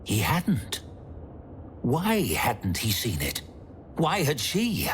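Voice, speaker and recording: A man narrating a story dramatically, in a deliberate, intense manner. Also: mid-range voice, wildly swooping pitch, slight background noise. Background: prominent music.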